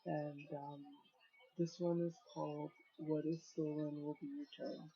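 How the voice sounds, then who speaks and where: A man in his thirties, an author sharing his life story in a casual spoken reflection, talking hesitantly with many pauses and fillers. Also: a little high, flat delivery, dark tone. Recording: dull lo-fi recording.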